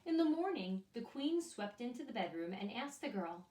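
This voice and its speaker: Lady doing storytime for little kids, super expressive, asks them stuff, echoey room and bit far from mic. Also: a little high, normal speed. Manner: expressive, addresses children directly with questions. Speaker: children's storyteller and teacher. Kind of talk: read-aloud picture book for young children with asides and questions. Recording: echoey room, distant microphone.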